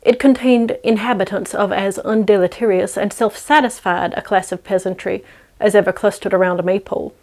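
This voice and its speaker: A woman's voice: mid-range voice, fairly even intonation, fairly quick, darkish tone. Woman storyteller reading a classic tale. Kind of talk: dramatic audiobook-like story narration.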